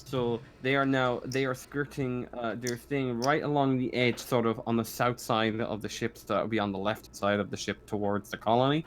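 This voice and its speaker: A man runs a tabletop roleplaying game in a casual voice of middling pitch. He narrates scenes with frequent stammered restarts and repeated phrases. There is faint noise in the background. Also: flat delivery, normal speed, few pauses. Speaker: game master.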